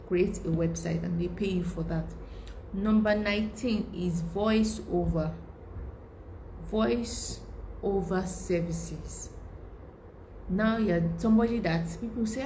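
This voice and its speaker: A woman's voice: lowish voice, mellow, reverberant, slight background noise, low-quality recording. A woman giving an informal online lesson, talking conversationally, often repeating herself and checking in with "right?". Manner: conversational, repeats words and restarts, asks "right?". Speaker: online course instructor.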